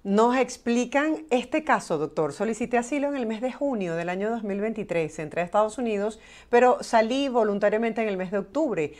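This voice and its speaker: A woman's voice: fairly high pitch, expressive, a little fast, few pauses, darkish tone. A woman hosting a broadcast interview, reading questions aloud and moving the conversation along. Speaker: host reading viewer questions.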